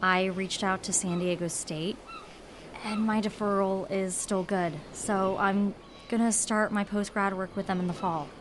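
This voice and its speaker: Young woman played by a female actor, sincere yet dryly witty, gentle delivery, mid-range voice, faint noise behind. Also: medium pitch, steady tone, unhurried, close to an everyday voice. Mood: careful, serious. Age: young adult. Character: earnest, dry-witted young woman, marine science student. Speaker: actor in an audio drama. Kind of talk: naturalistic audio drama dialogue.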